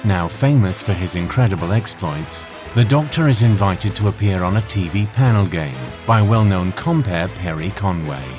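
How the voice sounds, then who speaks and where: Casting: male storyteller, deep register, scripted drama narration with light music underneath. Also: animated, normal speed, hardly any pauses.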